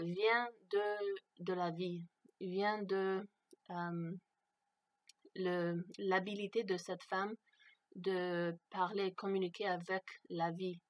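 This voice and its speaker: Hesitant woman speaking French as a learner. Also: mid-range voice. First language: not French. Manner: hesitant, searching for words, many fillers; slow, thoughtful. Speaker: vlogger. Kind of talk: unscripted personal talk to camera.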